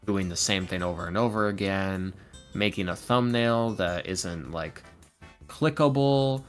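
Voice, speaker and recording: A man's voice: on the low side, fairly bright tone. A man speaking casually and candidly as a podcast guest, earnest, confiding and self-deprecating. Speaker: podcast guest, ASMR roleplay audio creator. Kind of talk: casual, candid interview talk.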